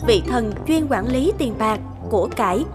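Woman storyteller, higher voice, scripted folktale narration, music behind. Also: animated, moderate pace.

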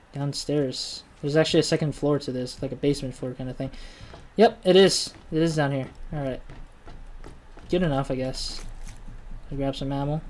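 A man's voice: fairly high pitch, darkish tone. A young man with a Chinese accent giving casual, rambling commentary while playing a game, often muttering to himself and correcting himself. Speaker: gaming channel host. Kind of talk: casual let's-play commentary.

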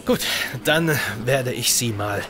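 A man's voice: medium pitch, animated, takes it slowly, crowd noise. An actor playing the genial male leader of a research crew in an audio drama. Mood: formal welcome.

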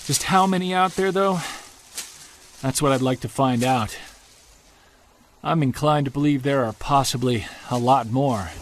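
An actor playing a Bigfoot hunter on an expedition, talking low and excited into his field recorder, with faint outdoor sound behind him. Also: unhurried, pauses often, a little hiss or hum, natural voice. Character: obsessed male Bigfoot hunter alone in woods. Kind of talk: audio drama field-recorder monologue. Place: woods at night and day.

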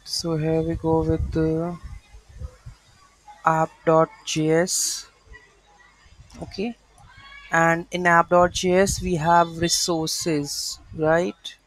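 Male tutorial presenter, slow and halting, flat delivery, unscripted, close dry sound. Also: fairly high pitch, dry recording, poor audio. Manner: halting, thinking aloud. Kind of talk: unscripted screen-share coding walkthrough. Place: voice-over to a screen recording.

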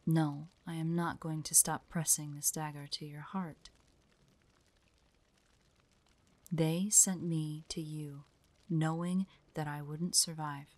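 A woman voicing a guarded, proud young hunter in an audio roleplay, terse and prickly, often dropping into hushed, breathy asides. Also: low voice, little pitch movement, a little slow. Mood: grimly resolved. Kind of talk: dramatic audio roleplay, addressed to an unheard listener.

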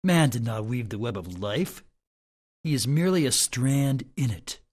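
Radio drama: actor as husky male elder of sixty, collected healer, grave and measured. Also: mid-range voice, expressive, a little mellow. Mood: grave, wise. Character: collected sixty-year-old elder, doctor and shaman-like sage. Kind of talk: radio drama, solemn oratory.

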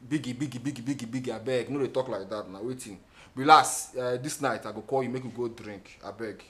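A Nigerian man playing a brash, smooth-talking, gold-digging boyfriend in a film drama, emphatic and given to repeating himself. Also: mid-range voice, a little fast, a bit bright, natural voice. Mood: boisterous, cynical. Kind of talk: film drama acting, mixing English and Nigerian Pidgin.

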